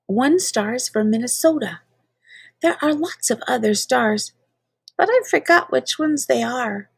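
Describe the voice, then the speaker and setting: A woman's voice: fairly high pitch, expressive, unhurried, vocal fry, slightly rough, dry recording. A woman reading children's poetry aloud in a playful, theatrical manner, drawing out words for emphasis. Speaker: reader of children's poems.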